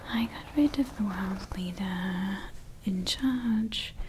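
A woman voicing a scheming female evil scientist who calls herself Mother, in a slow, soft, conspiratorial whisper for ASMR roleplay on a close microphone. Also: medium pitch, background noise, close to an everyday voice. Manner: slow, intimate, conspiratorial ranting. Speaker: ASMR creator.

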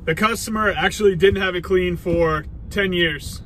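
A man's voice: sits high, moderate pace. A man in his twenties, an HVAC technician, chatting casually to a dash-mounted phone inside his parked work van. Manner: relaxed, plain-spoken, tradesman's shop talk. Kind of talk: casual vlog talk to camera. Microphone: phone camera mounted on the dashboard. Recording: loud vehicle and outdoor noise behind.

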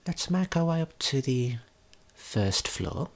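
A tour guide giving a gentle, unhurried ASMR tour in a breathy whisper, explaining things as they go. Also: mid-range voice, big pitch swings.

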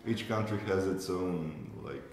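A man's voice: sits low, measured pace. A young man in a band, speaking casually in a documentary interview and searching for words. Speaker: band member. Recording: echoey room with faint noise.

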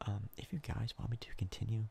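A young man giving soft-spoken ASMR game commentary in a breathy, crackly whisper, casual and rambling with hesitant pauses. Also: on the low side, fairly even intonation. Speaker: ASMR gaming YouTuber.

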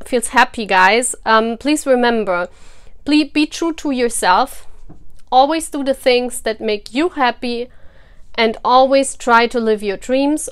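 A woman's voice: on the high side, clean tone. A woman whose first language is German, chatting casually to her viewers as a tarot reader, laughing often between sentences. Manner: chatty, laughs often, warm encouragement to fans. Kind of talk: casual chat to camera.

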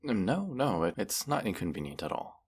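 A man's voice: sits low, big pitch swings, slightly rough, full character voice. A man voicing a proud, mighty dragon in a fantasy roleplay, haughty and formal in manner. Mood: coy, possessive. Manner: haughty, deliberate, formal phrasing with menacing undertone. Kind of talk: fantasy roleplay audio acting.

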